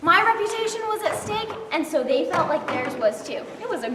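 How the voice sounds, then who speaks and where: A woman's voice: very high-pitched, very animated, brisk, over music. A young woman playing an earnest teen babysitter narrating. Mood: reflective. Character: flustered teen girl babysitter looking back. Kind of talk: amateur stage play. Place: large hall stage. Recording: echoey hall from far off, background hiss.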